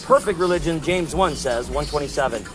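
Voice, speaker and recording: A loud, emphatic man preaches by a street, citing verses and driving each point home. His voice sits a little high. Faint outdoor traffic hums behind him. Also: brisk. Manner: emphatic, scripture-quoting, insistent. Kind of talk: impassioned preaching conversation.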